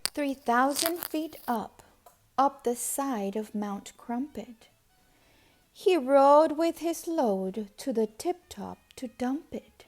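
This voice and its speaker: A woman reads a rhyming storybook to children with dramatic expression. Her voice is fairly high and mellow, and she takes her time with very slow, deliberate phrasing, sometimes hushing toward a whisper. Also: expressive. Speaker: storybook reader. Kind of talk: expressive children's read-aloud narration.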